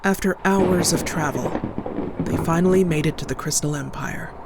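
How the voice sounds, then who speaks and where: A woman's voice: low-pitched, moderate pace, very noisy. A woman playing a composed narrator, reading scripted story narration for a cartoon horror tale. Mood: even, serious. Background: music.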